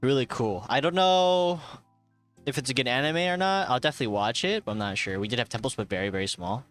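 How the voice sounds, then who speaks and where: Young man, streamer, casual chat, mid-pitched voice, swears a lot, faint music underneath. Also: animated, fast talker, fairly bright tone. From Thai heritage. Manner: swears often, laughs, reads chat aloud.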